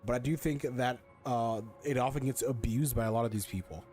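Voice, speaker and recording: A man's voice: medium pitch, brisk, darkish tone, soft background music. A man giving informal, opinionated off-the-cuff commentary as a gaming streamer, often repeating his phrases as he thinks aloud. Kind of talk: casual off-the-cuff game commentary.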